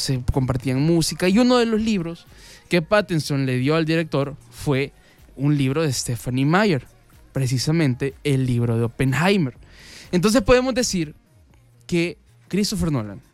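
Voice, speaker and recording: A Latin American man talks like a radio host telling a story to his listeners. His voice is smooth and fairly high, and he is chatty, repeating words to drive a point home. Music plays softly behind him. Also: no room sound. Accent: Latin American Spanish. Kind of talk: casual conversational monologue, storytelling to the audience.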